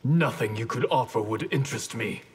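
An actor playing a stern, honorable ninja grandmaster in a video game, speaking in a terse, resolute, formal way. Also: male voice, medium pitch, normal speed, slight background noise, natural voice. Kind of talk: video game character acting.

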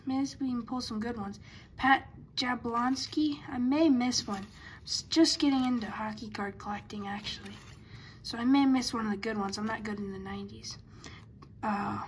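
High-voiced woman, chatty, trips over names, faint hum behind, tabletop card opening. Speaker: card collector. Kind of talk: casual card-opening commentary. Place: indoors at a table.

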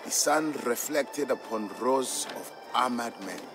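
A man voicing a stern, bitter old merchant and war veteran who recounts things gravely for a game story. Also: mid-range voice, unhurried, over music, lightly acted.

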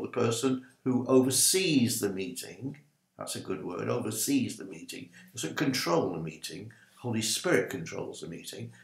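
A man's voice: mid-range voice, creaky, echoey room. An older man, a minister, giving an ad-libbed teaching talk to a webcam in a small home study, gesturing as he talks. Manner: animated, gesturing with both hands, ad-libbed. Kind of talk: informal teaching talk to camera.

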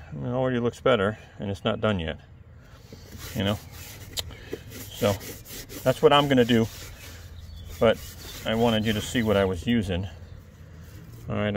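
A man talks in a relaxed, rambling way to his viewers while working outdoors. His voice is soft and a bit low with a gravelly edge. The close camera picks up clear background noise. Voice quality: soft, calm voice. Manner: chatty, rambling asides to the viewer. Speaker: video creator. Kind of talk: casual how-to talk while working. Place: outdoors on a lawn. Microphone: camera held close, point of view.

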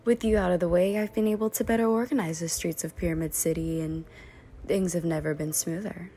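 An actress playing a rich, condescending crime lord, smug and controlled, in an audio drama. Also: female voice, on the low side, steady tone, fairly quick, dark tone, slight background noise, natural voice. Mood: cool disdain.

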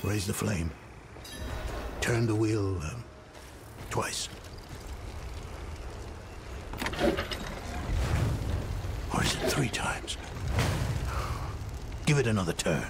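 Slow, gravelly weary scholar-warrior. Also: male voice, on the low side, very noisy. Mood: distracted, uncertain. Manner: halting, grave. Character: weary, doubt-ridden male scholar-warrior of a demon-hunting order. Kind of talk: dark fantasy game acting. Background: game music.

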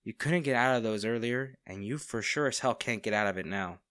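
A man acting as a smitten villain in an intimate audio roleplay, speaking directly to the listener with theatrical pauses. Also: on the low side, a bit bright, no room sound, studio quality, natural voice. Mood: teasing, smug. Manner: teasing, theatrical, pausing, addressing the listener intimately. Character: male villain, teasing, smitten captor of a hero.

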